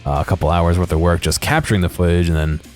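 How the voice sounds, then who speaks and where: A man giving unscripted commentary on video game footage in a hesitant, rambling, low-key way. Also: fairly even intonation, fast, darkish tone, soft background music.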